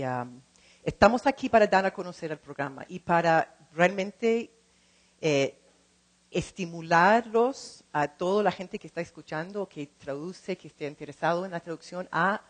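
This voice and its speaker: A middle-aged woman speaking in a second language as she gives an informal talk, halting and repeating words as she searches for the next one. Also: on the low side. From lives in California. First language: not Spanish, speaks it as a second language. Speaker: translator and program co-director.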